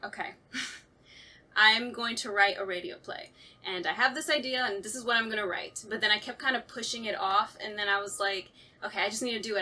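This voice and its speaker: Bright, forceful-voiced woman chatting casually. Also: mid-range voice. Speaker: writer and voice actor on a radio play talkback. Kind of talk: casual chat.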